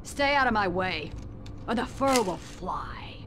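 A woman's voice: medium pitch, expressive, measured pace, noisy background, natural voice. A woman playing a ruthless, proud middle-aged corporate villain in a video game, haughty and cutting. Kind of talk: video game cutscene acting.

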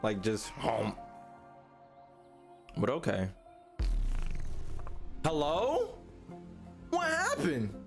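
A man's voice: on the high side, wildly swooping pitch, extremely slow, vocal fry, long pauses. A young man giving casual reaction commentary in slangy speech, repeating phrases in bursts. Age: young adult. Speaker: reaction video host. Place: small room indoors at a streaming setup. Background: music from the trailers he watches.

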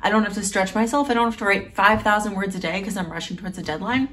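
A woman's voice: mid-range voice, steady tone, fast, fairly bright tone, recorded from a distance. A young adult woman talking in an informal, self-deprecating way, as in a casual personal vlog. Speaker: novelist vlogger. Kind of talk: casual vlog chat to camera. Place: small home room with desk.

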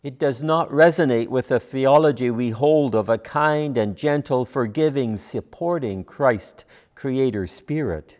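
An older man delivering a measured, scripted sermon as a preacher, deliberate in his phrasing. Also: mid-range voice, darkish tone. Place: large church sanctuary, at a lectern. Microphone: lapel mic. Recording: long church reverb.

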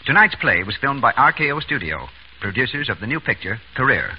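A male announcer reads scripted copy in a 1930s radio style. His pitch is medium, and the vintage broadcast sounds muffled with faint noise. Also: big pitch swings, brisk.

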